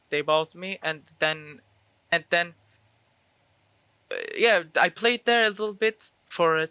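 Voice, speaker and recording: Bright-voiced young Turkish man. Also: a little high, clean tone, no room sound. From Turkey. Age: teens. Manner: self-assured. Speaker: esports player being interviewed. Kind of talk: casual interview answers.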